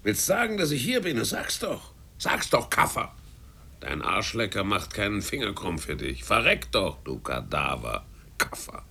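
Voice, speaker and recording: Radio play villain: low, croaking sailor, goading and spiteful, melody lurching up and down. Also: male voice, big pitch swings, sharp tone, full character voice. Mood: goading, hateful. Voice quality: hoarse, gravelly. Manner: jeering, taunting, drawn-out mock sweetness. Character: spiteful, goading male sailor, sneering bully. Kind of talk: radio drama acting.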